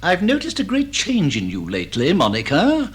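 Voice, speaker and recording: A vain middle-aged English matinee idol in a radio comedy. His voice is high for a man and swoops freely as he declaims, indignant and self-dramatising, putting questions and answering them himself. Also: huge pitch swings, normal speed. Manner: grandly declamatory, asks and answers own questions. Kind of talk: stage drawing-room comedy in radio drama.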